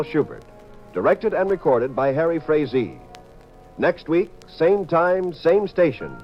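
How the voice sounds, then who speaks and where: American radio announcer man over music. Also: fairly high pitch. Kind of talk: 1950s scripted broadcast announcing. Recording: dull 1950s radio recording, music.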